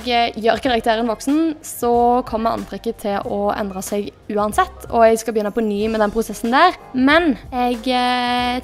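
A woman's voice: fairly high pitch, gravelly. A young woman giving casual, chatty commentary as she plays, thinking aloud as she picks options, recorded at a desk in her bedroom. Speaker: gaming YouTuber.

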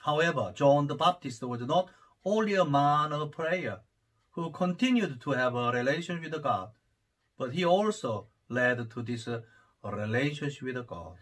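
Slow, husky Korean-accented priest. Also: male voice, fairly high pitch, animated, fairly bright tone. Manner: unhurried, many hesitations, rising fervor. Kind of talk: homily to camera. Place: study with bookshelves, indoors. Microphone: camera at desk distance.

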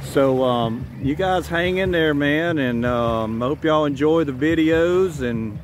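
Southern man, 50s, plainspoken vlogger, profane and rambling, phone mic outdoors with clear ambient noise. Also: a little high, slow pace. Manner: blunt, profane, rambling with many "you know"s. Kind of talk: casual vlog monologue. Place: outdoors on a tropical beach. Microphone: phone camera at arm's length.

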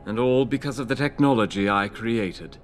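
A man's voice: medium pitch, close to an everyday voice. Guy acting old genius scientist in a game, polite but ironic, kinda weary, faint music. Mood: urbane, wry. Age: 60s. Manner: measured, rhetorical, gently ironic. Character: older male scientist-inventor, urbane, weary, wry. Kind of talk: video game cutscene acting.

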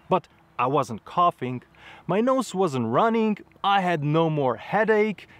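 A man in his thirties talking straight to camera outdoors in front of trees, explaining his experience in an earnest, measured way with slightly unusual phrasing. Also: a little high, no room sound. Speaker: travel vlogger.

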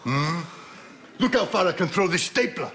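A man's voice: high voice, big pitch swings, moderate pace, vocal fry, exaggerated voice. An actor playing a deluded, boastful alpha male office worker in a sitcom. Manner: pompous, boastful, preening. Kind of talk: sitcom acting. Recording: loud audience and background noise.

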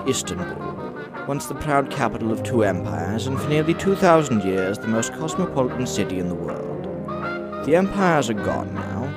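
A man's voice: medium pitch, lightly acted. A man playing a solemn, dramatic announcer, reading scripted narration for an old-time radio drama. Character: solemn, dramatic announcer of a 1930s-set serial. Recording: loud brass music under the voice. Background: loud brass music.

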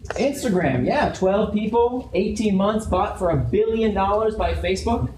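A man with a fairly high voice speaks to a seated crowd, talking easily and tossing questions out to the audience. He is recorded from across a roomy, echoey space with steady noise in the background. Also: normal speed. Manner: conversational, asks the audience questions. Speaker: guest speaker. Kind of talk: conference talk to a live audience. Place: large open office event space with audience.

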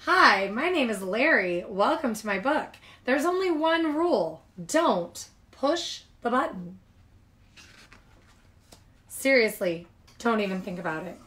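A woman voicing an excitable, cheeky and bossy monster from a children's picture book, with an exclamatory delivery. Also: a little high, slow pace, full character voice. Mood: bossy, teasing. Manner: exclamatory, squealing, conspiratorial. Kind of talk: animated children's picture-book read-aloud.

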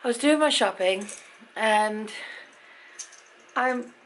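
A woman in her fifties from Britain with an English accent, chatting to a handheld camera in a dim room at night. Her voice is croaky, and she rambles and laughs often. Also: a little high, slow pace, a little hiss or hum, rough recording. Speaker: vlogger. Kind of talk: casual chat to camera. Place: bedroom at night, dim lamp light. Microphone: handheld camera at arm's length.

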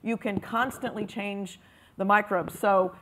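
A woman speaking on a conference panel, explaining in a halting way with frequent restarts. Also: medium pitch, fairly even intonation, fairly bright tone, a little hiss or hum, low-quality recording. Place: conference room.

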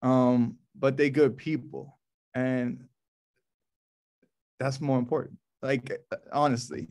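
Man, thirties, American accent, mid-pitched, unhurried, informal host, halting colloquial talk, video call. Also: little pitch movement, a little mellow. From New Orleans, USA. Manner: colloquial, halting with repeated words. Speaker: host of a poetry reading. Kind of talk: casual, informal event hosting. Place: small office, indoors. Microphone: laptop mic on a video call.

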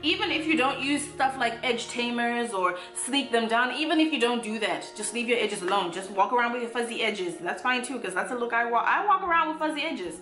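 A woman's voice: a little high, expressive, fairly quick, hardly any pauses, pushed and bright, echoey room, faint music behind. A young woman, giving a casual, upbeat hair tutorial in her bedroom, with playful asides. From Zimbabwe. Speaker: natural hair vlogger. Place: bedroom, indoors.

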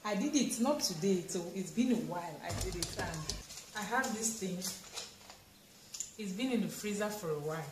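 A woman's voice: medium pitch, moderate pace. Cameroonian woman vlogging in an echoey room. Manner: expressive, exclamatory, chatty. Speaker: home vlogger. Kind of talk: casual chat to camera while doing housework. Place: tiled home kitchen, indoors. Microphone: camera or phone at arm's length and further. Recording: echoey tiled room with clear noise.

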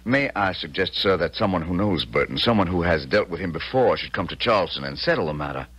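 A proud, courtly male colonel in a vintage radio play. His deep voice carries calm authority. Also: fast, breathy edge, muffled recording, full character voice. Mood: grave. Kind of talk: 1970s radio drama acting.

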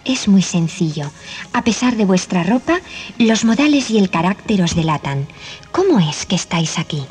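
Young fairy-tale princess played by a woman, proud but softening, Castilian Spanish, old cassette with faint music. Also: medium pitch, very animated, moderate pace, natural voice. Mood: knowing, composed. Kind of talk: children's storybook dramatization. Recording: 1980s cassette, music behind.

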